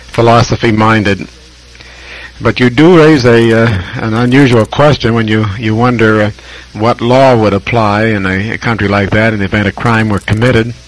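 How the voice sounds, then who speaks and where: An American man with a fairly low voice talks over vintage shortwave radio. He chats casually as an amateur operator, picking his words deliberately and reflectively, with halting phrases and long pauses. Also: poor audio. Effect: shortwave radio transmission. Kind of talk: casual ham radio conversation.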